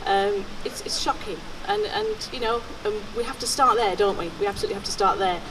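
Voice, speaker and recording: An older woman informally introducing speakers at an outdoor gathering, chatty and halting, repeating words as she goes. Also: mid-range voice. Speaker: event organiser introducing speakers. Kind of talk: informal announcing at a rally. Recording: noisy outdoor recording, distant microphone.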